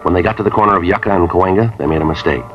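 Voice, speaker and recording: An actor in 1950s radio drama playing a terse police sergeant who narrates and talks in a flat, clipped, matter-of-fact way. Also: male voice, low voice, racing, natural voice. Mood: narrating. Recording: lo-fi old radio broadcast with music.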